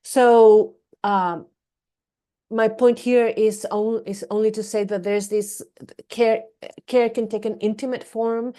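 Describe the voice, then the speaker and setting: A woman with a Costa Rican accent speaks English as a native Spanish speaker. Her voice is mellow and mid-pitched, and she explains things in a measured, reflective way. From Costa Rica. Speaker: academic panelist. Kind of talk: reflective panel discussion.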